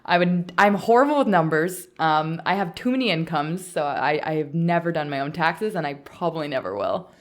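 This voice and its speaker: A woman's voice: low voice, animated, moderate pace, hardly any pauses. A woman with a Canadian accent, chatting casually as a podcast co-host.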